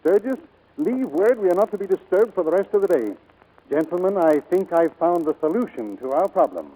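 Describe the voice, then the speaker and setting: A man playing a commanding, formal US Navy admiral in a 1940s radio drama, speaking gravely and deliberately. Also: high voice, very animated, warm tone. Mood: brisk, commanding. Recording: muffled vintage 1940s radio recording.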